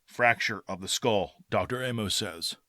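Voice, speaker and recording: A man's voice: lowish voice, normal speed, pushed and bright, dry recording, studio quality. A man acting in an old-time radio style drama as an earnest, skeptical small-town doctor and husband. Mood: grave, urgent. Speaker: actor.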